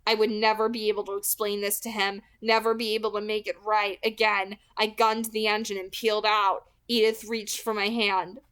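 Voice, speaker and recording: A woman's voice: mid-range voice, flat delivery, moderate pace, few pauses, fairly bright tone, smooth. A woman reading a novel aloud as an amateur audiobook narrator, with expression.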